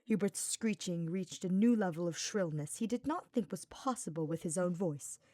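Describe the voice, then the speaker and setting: A woman reading scripted fiction as an audiobook narrator, in an expressive, deliberate storytelling style. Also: lowish voice, no echo.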